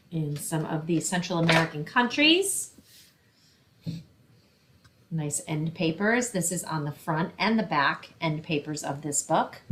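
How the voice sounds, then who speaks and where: A woman's voice: deep voice, fairly bright tone, a little hiss or hum. A woman reading a picture book aloud and explaining things simply for children, as a librarian hosting story time.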